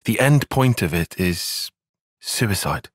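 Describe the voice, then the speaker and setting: A man's voice: on the low side, lively intonation, slow, breathy edge, dry recording, studio quality, exaggerated voice. A man playing an earnest, analytical young soldier in a fantasy video game, speaking with precise, formal phrasing. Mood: subdued. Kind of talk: video game cutscene acting.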